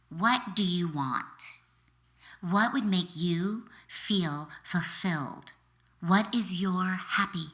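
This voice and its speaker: A woman author reading her self-help book aloud as narration, speaking directly to the listener in deliberate, encouraging phrases with pauses. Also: lowish voice, pushed and bright. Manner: direct second-person address, encouraging. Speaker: author reading an excerpt from their own book.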